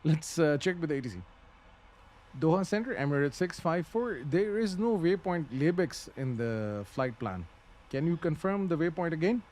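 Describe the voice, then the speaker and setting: A man's voice: on the high side, lively intonation, normal speed, a little mellow. A man giving live flight simulator commentary and pilot radio readbacks, hesitant and thinking aloud. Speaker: flight simulator livestream host.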